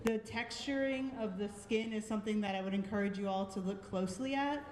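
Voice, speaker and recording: A woman's voice: mid-range voice, a little fast, darkish tone. Woman juror in an echoey hall. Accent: Boston. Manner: friendly, thoughtful, frequent ums. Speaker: art show juror. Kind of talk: live art critique to an audience. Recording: echoey hall with long reverb.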